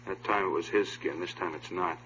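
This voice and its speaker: An actor playing a cautious, businesslike police captain with an air of authority in a 1950s radio drama. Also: male voice, low voice, fairly even intonation, brisk, pushed and bright, slight background noise. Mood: brisk, questioning. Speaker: supporting actor. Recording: muffled, humming vintage radio recording.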